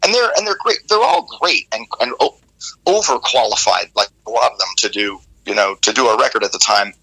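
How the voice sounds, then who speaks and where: Man with a gravelly, forceful tone, candid storyteller who swears casually and talks with animation. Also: lowish voice, animated, a little fast, rough recording. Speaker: guest, rock vocalist.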